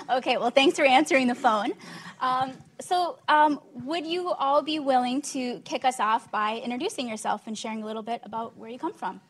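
A woman's voice: sits high, normal speed, breathy edge. Joking woman moderating a panel. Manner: friendly, joking. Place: large conference stage. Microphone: handheld mic.

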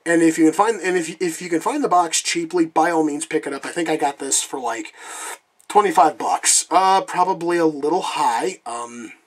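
A man's voice: fairly high pitch, normal speed. A man with a lingering cough reviewing trading cards in a casual vlog, rambling and swearing freely while talking off camera.

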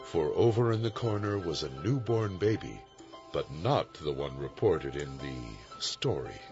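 Deep-voiced actor as a solemn storyteller. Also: male voice, expressive, measured pace. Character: solemn male storyteller of a morality tale. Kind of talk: scripted dramatic narration. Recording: muffled narration over music.

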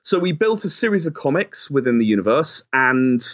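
A man giving conversational, explanatory interview answers, often softening his points with "sort of". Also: medium pitch, sing-song, normal speed, muffled recording. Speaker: game designer and writer, interview guest.

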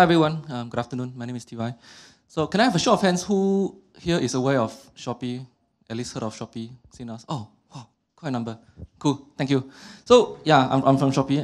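A man's voice: medium pitch, a little mellow. A man, speaking energetically as a panelist and often repeating words, into a handheld microphone. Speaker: company executive, panelist. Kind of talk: panel talk. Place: stage of a large hall with audience. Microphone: handheld mic.

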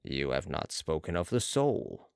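A solemn, mysterious master who speaks gravely and quietly, as a reader puts him on. The pitch drops below the reader's own and the delivery is unhurried. The recording is dry and close. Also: big pitch swings, dry recording, exaggerated voice. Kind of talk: audiobook character reading.

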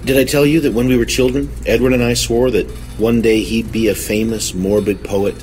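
A man playing a troubled, genteel suspect in an audio horror drama, halting, formal and earnest. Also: mid-range voice, flat delivery, a little mellow, faint music behind, natural voice, rough recording. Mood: haunted, reserved. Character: troubled, genteel man confessing to police, desperate.

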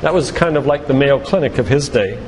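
An older man giving an earnest, deliberate sermon-like talk with stories and read-out quotations. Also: medium pitch, expressive, a little mellow. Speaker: physician and lay preacher giving a church talk. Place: church hall, live talk to congregation. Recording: reverberant church hall, background noise.

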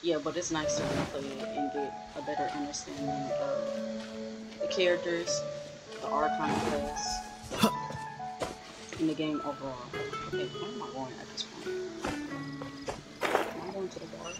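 A woman's voice: low voice, fairly even intonation, a little slow, long pauses, poor audio. A woman giving casual, chatty gameplay commentary with wry asides. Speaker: gaming streamer. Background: loud game music.

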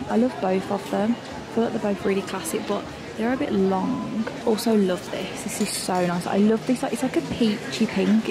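A young woman in her twenties, chatting and gushing about clothes as she films a shopping vlog on a handheld camera inside a large store. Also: mid-range voice. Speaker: fashion vlogger. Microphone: handheld camera mic. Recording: loud store background noise.

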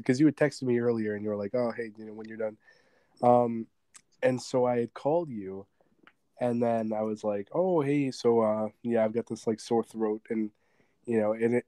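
A young man co-hosting a podcast in casual chat, talking with a sore throat and a slight rasp, rambling with restarts and frequent laughs. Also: mid-range voice, dark tone, dry recording. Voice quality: slightly raspy. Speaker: podcast co-host.

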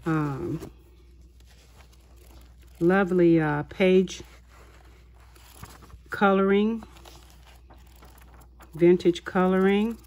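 A woman hosting a haul video of her craft purchases, talking in an unhurried way with many small pauses and fillers. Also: on the low side, steady tone, a little mellow, muffled recording. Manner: slow, unhurried, halting with fillers. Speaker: craft channel host. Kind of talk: show-and-tell haul video. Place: indoors at a tabletop, voice-over of hands.

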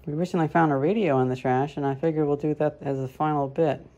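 A woman's voice: sits low, fairly even intonation, fast, dark tone, highs cut off. A young adult woman chatting casually and off the cuff, musing aloud as she demonstrates things. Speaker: hobbyist vlogger demonstrating old light bulbs. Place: living room at home, indoors. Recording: clear background noise, home camera recording.

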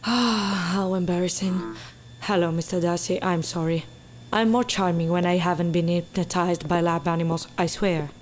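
A woman playing a rattled, analytical scientist in an audio drama, speaking haltingly. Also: on the low side, steady tone, a little hiss or hum, close to an everyday voice. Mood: embarrassed, dazed. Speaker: scientist character.

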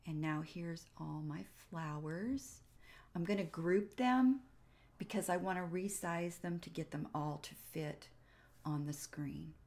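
Low-voiced woman, slow tutorial narration. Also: a little mellow. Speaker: tutorial host. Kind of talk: casual step-by-step software tutorial narration.

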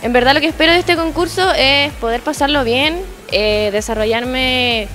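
Hesitant high-voiced Chilean woman. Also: moderate pace. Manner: hesitant, with many fillers. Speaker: pageant contestant. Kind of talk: casual interview. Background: music.

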